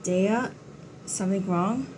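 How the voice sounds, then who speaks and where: Slow-talking woman doing game commentary. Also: mid-range voice, noisy background. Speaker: game streamer. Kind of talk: casual playthrough commentary, reacting to game dialogue. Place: playing a game with its loud music behind.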